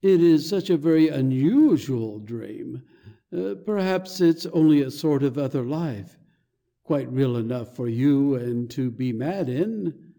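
A dazed, earnest clergyman from a storybook, acted by a woman. The voice is very dark and soft-edged, and it moves slowly and haltingly, as if he is puzzling out his duty. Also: very deep voice, expressive, pitched below the performer's own voice, heavily acted. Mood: bewildered, troubled. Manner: slow, halting, earnest, bewildered delivery. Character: dazed, muddled, conscientious young male curate.

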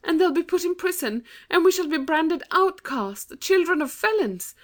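Woman doing an earnest, high-voiced British girl. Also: lively intonation, normal speed. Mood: dramatic, gloomy. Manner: earnest, dramatic, well-spoken. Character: earnest, dramatic, kindly young girl, eldest sister.

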